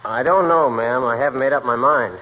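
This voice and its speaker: A man's voice: mid-range voice, a little fast, lightly acted. A man playing an earnest, deferential young merchant seaman in a 1940s radio comedy. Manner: deferential, says sir and ma'am. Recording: muffled, hissy old radio broadcast.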